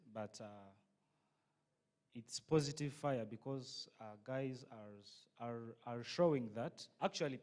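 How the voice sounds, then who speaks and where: A young man with a Ugandan accent, a journalism student answering questions in an interview. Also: mid-range voice. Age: young adult.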